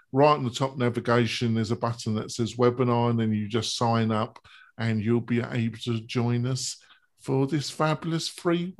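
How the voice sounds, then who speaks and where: A man hosting a live web panel show, chatty and promotional as he goes to a break. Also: mid-range voice. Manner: chatty, plugs a webinar with food metaphors. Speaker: show host.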